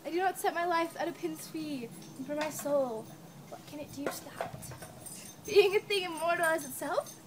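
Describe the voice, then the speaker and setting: A woman's voice: very high voice, normal speed. A woman playing a defiant, determined young prince in an amateur Shakespeare drama, with declamatory delivery. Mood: resolute. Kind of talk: amateur stage reading of Shakespeare. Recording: some background noise.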